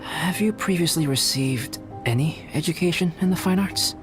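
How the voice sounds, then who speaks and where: A man voicing a calm, polite young scholar and artist in a video game, speaking in a measured, formal manner. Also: a little high, lightly acted. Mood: thoughtful, polite. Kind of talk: animated game voice acting. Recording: music behind the voice.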